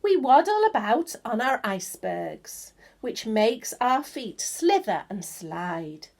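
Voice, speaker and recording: A woman's voice: fairly high pitch, sing-song, slow, exaggerated voice. A woman voicing playful, waddling penguins in rhyming children's picture-book verse.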